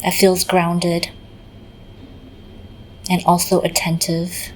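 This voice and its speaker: A woman leading a guided meditation in a gentle, unhurried manner, often pausing in the middle of phrases. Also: fairly low pitch, completely flat delivery, a little hiss or hum, low-quality recording. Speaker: meditation teacher.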